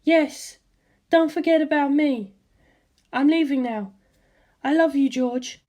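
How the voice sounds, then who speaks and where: A woman voicing a worried, gentle middle-aged mother speaking on the phone. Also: high voice, slow, voice pushed up. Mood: tender. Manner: soft, anxious, loving.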